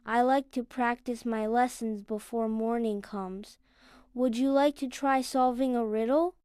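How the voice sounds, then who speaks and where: A kindly magical classroom blackboard, voiced by a woman, talks like a friendly teacher in a fairly high, clear voice. It speaks slowly, as in a bedtime storybook. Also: fairly even intonation, warm tone, no echo, clean studio sound, close to an everyday voice. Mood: friendly, teacherly. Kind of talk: children's bedtime story character acting.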